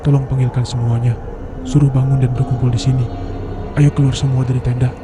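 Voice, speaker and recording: A man playing a calm, commanding mountain tracker who gives firm, cautioning instructions in an animated horror story. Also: medium pitch, brisk, close to an everyday voice. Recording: noisy background ambience.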